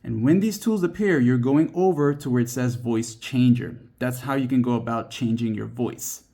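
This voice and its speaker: A man's voice: medium pitch, normal speed, mellow. Man narrating a step-by-step tutorial. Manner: instructional, addresses viewer directly. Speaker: tutorial host.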